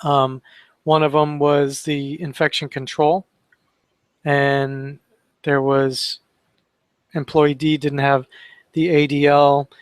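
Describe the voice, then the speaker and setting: A man with a somewhat high voice talks through inspection findings in a relaxed, conversational way. He often stops to fill with "um" between items. The sound is dry and close, with no room echo. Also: slow pace, long pauses, clean tone. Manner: conversational, reads citations aloud with fillers. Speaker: webinar co-host. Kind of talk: casual training discussion.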